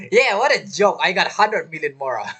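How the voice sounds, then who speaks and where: A game streamer with a lively, swinging voice gives casual commentary. They joke and tease, talking back to the characters. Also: mid-range voice, normal speed, slightly rough. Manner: joking, teasing, talks back to characters.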